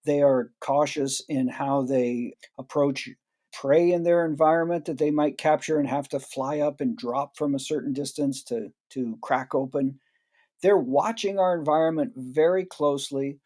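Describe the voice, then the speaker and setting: Mellow-toned man explaining thoughtfully. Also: a little high, normal speed. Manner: reflective, explanatory, with restarts. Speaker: guest expert. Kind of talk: interview answers.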